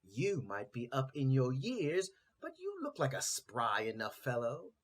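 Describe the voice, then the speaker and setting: A man's voice: mid-range voice, wildly swooping pitch, unhurried. A man voicing a kindly, upbeat donkey in a storybook tale, coaxing and friendly as he reassures others. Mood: encouraging.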